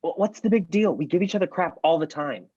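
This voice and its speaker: A man playing a sheepish, tactless teenage boy in a screenplay table read, halting and eager to please. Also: a little high, lively intonation, mellow, breathy edge, dry recording, highs cut off. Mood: defensive. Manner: halting, backpedaling.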